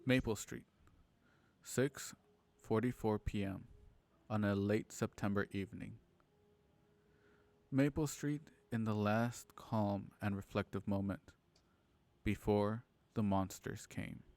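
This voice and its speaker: A man's voice: lowish voice, fairly even intonation, takes it slowly, long pauses, a little mellow, dry recording. A man delivering scripted dramatic narration for a radio play. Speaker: opening narrator.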